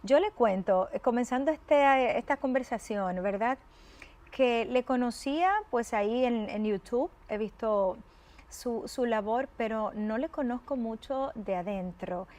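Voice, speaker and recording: A woman's voice: a little high, measured pace, a little mellow. Effusive woman hosting faith show. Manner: effusive, reverent, lingering on pious phrases. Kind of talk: warm devotional interview.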